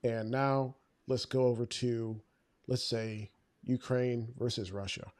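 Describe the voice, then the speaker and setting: A man's voice: mid-range voice, steady tone, darkish tone, no room sound, clean studio sound. Stuffy-voiced man hosting a podcast. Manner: halting, repeats words. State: stuffy from a flu. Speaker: podcast host. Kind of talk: casual interview.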